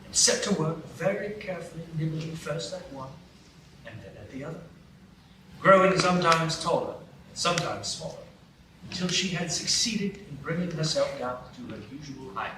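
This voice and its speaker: A man narrating a storybook tale in a live radio play, telling it in a clear, even way. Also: a little high, moderate pace. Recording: roomy stage from a distance, hiss.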